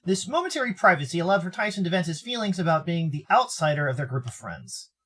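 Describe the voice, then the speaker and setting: An American man from Arizona giving theatrical, expressive narration of a visual novel as a storyteller. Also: fairly high pitch, fast talker, slightly rough. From Arizona, United States. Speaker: narrator and streamer.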